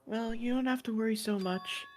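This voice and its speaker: A woman voicing a soft-spoken, reassuring young classmate in a visual novel, speaking gently and a little hesitantly. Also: a little high, steady tone, normal speed, natural voice. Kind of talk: acted dialogue reading from a visual novel.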